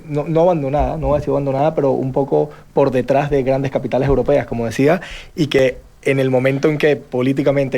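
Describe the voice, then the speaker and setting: Venezuelan male panelist, relaxed anecdotal delivery, middle pitch. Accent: Venezuelan Spanish. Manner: conversational, self-correcting, anecdotal. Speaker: panel guest, real estate executive. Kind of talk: round-table discussion.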